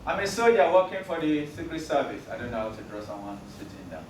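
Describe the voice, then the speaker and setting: A man's voice: medium pitch, fairly even intonation, quick pace, recorded from a distance, slight background noise. Joking male teacher, Yoruba native, roomy. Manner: joking, keeps checking students follow. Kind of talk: classroom math lesson. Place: classroom. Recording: roomy classroom recording from afar.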